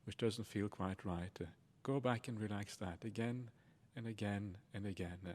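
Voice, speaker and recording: A man leading a guided meditation as a teacher, speaking in a soothing, unhurried and reassuring manner. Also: fairly low pitch, a little mellow. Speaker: Buddhist monk and meditation teacher.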